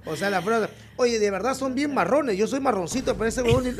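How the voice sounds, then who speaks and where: A man's voice: extremely high pitch, fast talker, a little hiss or hum. A man with a Peruvian Spanish accent giving heated, casual political commentary in a blunt, mocking, sweary way. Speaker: political show panelist.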